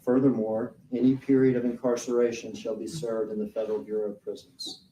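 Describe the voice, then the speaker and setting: Male lawyer, measured questioning, mellow tone, distant roomy courtroom sound. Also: medium pitch, level tone, slight background noise. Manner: slow, deliberate questioning. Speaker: prosecutor. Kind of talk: courtroom direct examination. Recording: roomy courtroom audio from far off.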